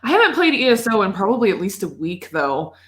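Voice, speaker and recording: A woman's voice: mid-range voice, sing-song, fast. A woman co-hosting a podcast, chatting casually about video games in an informal, rambling way. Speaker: podcast co-host.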